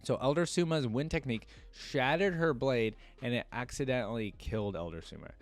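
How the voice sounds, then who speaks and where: A man's voice: mid-range voice, fairly quick, no room sound, soft background music. A man hosting a casual reaction video, reading aloud and breaking off into informal, rambling asides. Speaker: reaction video host reading and commenting on game lore.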